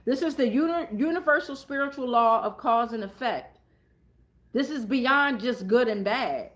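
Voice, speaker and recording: A woman with a fairly high voice leads a casual, improvised spiritual card reading. She is blunt and slangy, swears freely, and repeats phrases to drive them home. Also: expressive, normal speed, fairly bright tone. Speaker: tarot reader hosting a reading.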